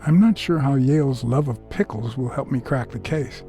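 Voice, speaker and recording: An actor playing a hard-boiled private detective, a wry, brooding cat who speaks in measured, terse phrases in a film noir game. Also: male voice, mid-range voice, dark tone, close to an everyday voice. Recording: music behind the voice.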